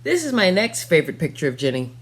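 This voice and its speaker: A young woman reading a children's storybook aloud, narrating expressively in the first person with dramatic pauses. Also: on the low side, very animated. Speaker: storybook reader. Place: small furnished room at home.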